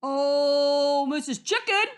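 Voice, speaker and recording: Woman doing a loud, earnest lonely wolf. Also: sits high, animated, slow, pitched above the performer's own voice. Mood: sweetly pleading. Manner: loud and earnest, overly polite. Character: lonely male wolf trying hard to be good.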